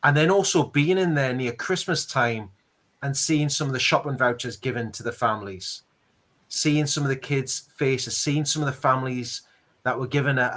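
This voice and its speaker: Bright-voiced Geordie man. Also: mid-range voice. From Northeast England. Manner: earnest, rambling, repeats words. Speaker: interview host, charity ambassador. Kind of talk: casual conversational interview.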